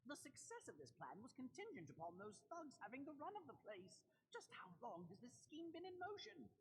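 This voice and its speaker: A woman's voice: high-pitched, very animated, a little fast, slight background noise, rough recording. A woman voicing a cautious, dry, level-headed strategist in a fantasy video game, formal and articulate. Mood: concerned.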